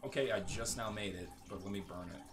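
A man's voice: fairly low pitch, quick pace, background noise. A man giving casual gameplay commentary in a joking, sarcastic, crude way. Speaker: gaming streamer.

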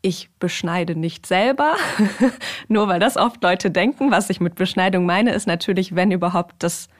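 A woman's voice: on the low side, normal speed. A young woman talking casually and informally as a podcast guest, explaining things in conversation. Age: young adult. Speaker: podcast guest, rabbinical trainee.

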